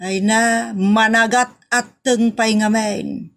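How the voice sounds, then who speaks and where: A woman's voice: on the high side, steady tone, slow, a bit bright. A woman with an Ilocano Filipino accent acting a nagging, exasperated rural wife and mother in a comedy audio drama, complaining in exclamations. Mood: reflective.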